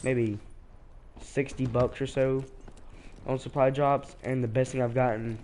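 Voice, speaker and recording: A teenage boy chats loosely over his game, his voice mellow and stuffed up from a cold. He wanders from point to point and restarts his sentences often, with a faint hum behind him. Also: mid-range voice, flat delivery, unhurried. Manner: rambling, hesitant, with many restarts. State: sick, congested. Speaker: streamer. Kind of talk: casual gameplay commentary.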